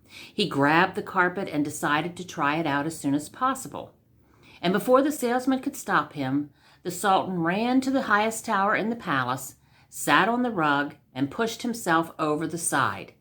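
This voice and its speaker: Animated, low-voiced woman storyteller. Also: moderate pace. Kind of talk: animated scripted story reading.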